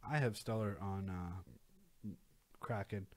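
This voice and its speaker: A man's voice: sits low, slow pace. A young man hosting a casual video, talking through price charts with lots of fillers and restarts. He is losing his voice. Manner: rambling, many fillers and restarts. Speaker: crypto channel host. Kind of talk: casual screen-share chart commentary.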